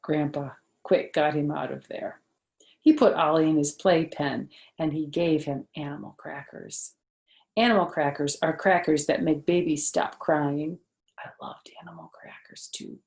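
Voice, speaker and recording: An older woman reading a children's story aloud in an expressive narrating style. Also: sits low, unhurried, creaky. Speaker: storytime reader, former first-grade teacher.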